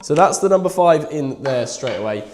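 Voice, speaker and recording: A croaky, bunged-up man hosting a live prize draw in chatty, casual talk. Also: on the high side, expressive, normal speed, a little mellow, roomy sound, a little hiss or hum. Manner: chatty, reads numbers aloud. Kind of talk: casual live-stream chat.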